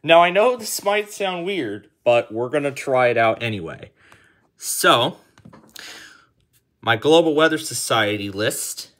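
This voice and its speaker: A man's voice: medium pitch, animated, unhurried, fairly bright tone. A man giving a casual step-by-step tutorial, often repeating and rephrasing himself as he explains. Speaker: tutorial host. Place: indoor room at a table.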